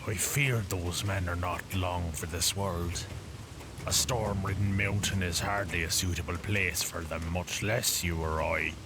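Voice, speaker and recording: Low-voiced, grumbling undead traveller. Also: male voice, fairly even intonation, measured pace, background noise. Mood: dry, wary. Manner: exasperated, dry, wordy. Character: grumbling, sardonic undead male traveller with decaying body. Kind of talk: fantasy audio drama acting.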